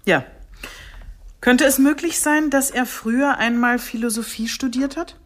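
An actress playing a businesslike police chief inspector in a radio drama, sober and matter-of-fact. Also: female voice, high voice, unhurried. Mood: focused.

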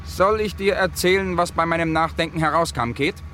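An actor playing a wealthy, shaken Hollywood man working out a murder in a German radio crime play, with halting, theatrical delivery. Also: a little high, sharp tone, a little hiss or hum. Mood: bitterly accusing.

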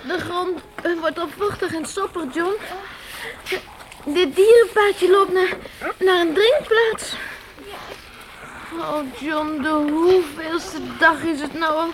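A young pioneer girl in an old radio play. The voice is very high, slow and halting, plaintive and worn out. Noise clearly sits over the recording. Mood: weary.

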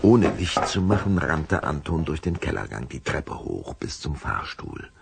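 A man narrating a scripted radio play story in an expressive storytelling manner. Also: very deep voice, unhurried, creaky, heavy background noise.

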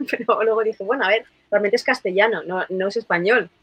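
A woman's voice: medium pitch, fairly quick, a bit bright, slightly rough, dry recording. A woman with a Madrid Spanish accent, chatting animatedly on a podcast panel and laughing often. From Spain. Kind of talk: casual panel chat.

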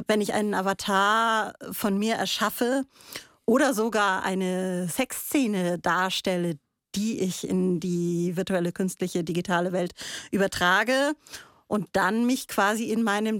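A woman's voice: medium pitch, no room sound, studio quality. A woman co-hosting a conversational radio discussion in a reflective, explanatory way. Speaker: radio show co-host.

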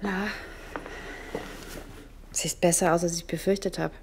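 A woman playing a caring, inquisitive hospital visitor in a naturalistic radio drama, speaking casually and gently probing. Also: fairly low pitch, fairly even intonation, unhurried, over music.

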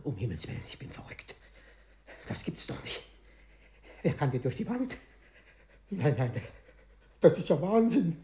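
A man's voice: on the high side, sing-song, measured pace, pauses often, mellow, a little hiss or hum. An actor in a 1950s German radio play, playing a pompous, easily rattled male ministry director in an echoey room. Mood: horrified. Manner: stiff, authoritative, easily flustered.

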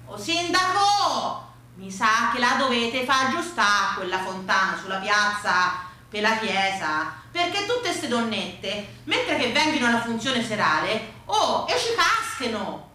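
Woman acting a high-pitched, naive Tuscan village priest. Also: very animated, unhurried, sharp tone, exaggerated voice. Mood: earnest, insistent. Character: earnest, naive new village priest, scolding the mayor. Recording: roomy echoing stage, music behind.

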